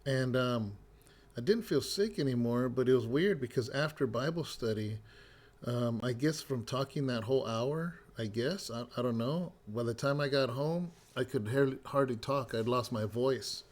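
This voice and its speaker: A middle-aged man, a pastor, slightly hoarse and raspy as he recovers his voice, telling a loose, rambling story full of fillers in a casual devotional update. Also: medium pitch, moderate pace. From California.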